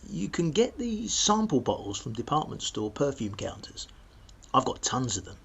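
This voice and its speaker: A man's voice: a little high, very animated, normal speed, gravelly, slightly rough, highs cut off, full character voice. An actor with a British accent playing a self-satisfied, penny-pinching money-saving vlogger who chats matter-of-factly to his viewers in a radio play. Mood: upbeat, chatty. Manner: chatty, matter-of-fact, addressing viewers. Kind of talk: radio play monologue as a vlog.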